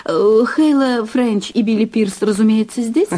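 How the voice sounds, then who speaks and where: A woman playing a weary, temperamental film star in her thirties in a Russian audio drama, with a sardonic, sharp-tongued manner. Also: fairly high pitch, measured pace.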